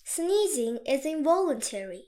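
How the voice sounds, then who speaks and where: High-voiced woman reading dictation. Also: big pitch swings, unhurried, dark tone. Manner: reads each sentence twice, evenly and clearly. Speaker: dictation reader.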